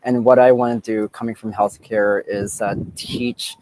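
A man in his forties from Canada telling his story on a video call, relaxed and full of fillers, outdoors with earbuds. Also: lowish voice, unhurried, slight background noise, low-quality recording. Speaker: guest speaker. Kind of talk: casual personal story. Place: outdoors by a beach. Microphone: earbud headset on video call.

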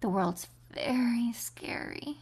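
Woman doing a clingy, possessive captor girl in an audio roleplay, husky whisper with fry, slow and cooing. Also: on the high side, exaggerated voice. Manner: soft whispering, cooing pet names. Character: two doting, possessive young women captors.

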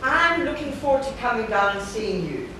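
Actress as eager, high-pitched woman in echoey theatre. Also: sing-song, normal speed, sharp tone. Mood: pleased, keen. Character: Lucy, friendly eager woman shop manager. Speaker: stage actor. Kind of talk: live stage play dialogue. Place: theatre stage before a live audience. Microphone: far camera. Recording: echoey noisy theatre, distant camera mic.

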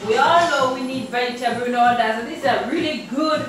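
A woman's voice: fairly high pitch, quick pace. A woman with a Jamaican accent co-hosting a home cooking video, matter-of-fact and affectionate. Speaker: cooking show co-host. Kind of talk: casual home cooking demo. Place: home kitchen, indoors. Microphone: far camera. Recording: echoey kitchen, far mic, music behind.

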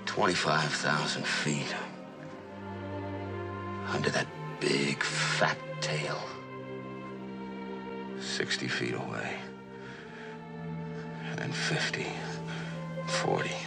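A young military pilot full of self-doubt, earnest and brooding. Dramatic music plays under this old radio drama performance. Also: male voice, very deep voice, fairly even intonation, very slow, gravelly, long pauses, exaggerated voice. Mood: brooding, anguished. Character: troubled, self-doubting young Air Force pilot named Johnny.

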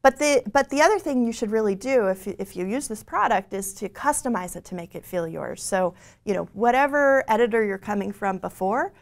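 A woman in her forties explaining software features on a studio stage, fluent but full of "you know" fillers and small restarts. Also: mid-range voice, expressive, brisk, gravelly. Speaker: product lead presenting the software. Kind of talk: scripted-feeling tech keynote conversation. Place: bright studio stage with screen behind.

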